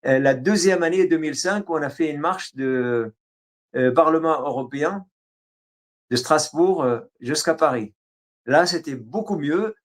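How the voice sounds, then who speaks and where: Arabic-accented man recounting his past. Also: a little high. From Syria. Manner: halting, frequent fillers and restarts. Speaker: interview guest, writer. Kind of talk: autobiographical interview.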